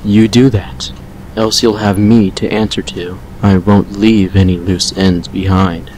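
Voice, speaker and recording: A man's voice: low-pitched, flat delivery, unhurried, a little mellow, slight background noise, poor audio. A man playing a stern, protective vampire in an amateur audio drama, firm and grave. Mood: stern warning.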